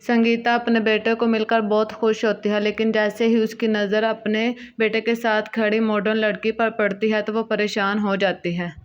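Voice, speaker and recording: A woman's voice: medium pitch, level tone, normal speed, few pauses. A woman narrating a scripted Hindi cartoon story as storyteller, in a dull lo-fi recording.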